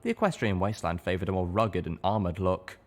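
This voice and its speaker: A man reading a story aloud as an audiobook narrator, in expressive scripted narration. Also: deep voice, fast talker.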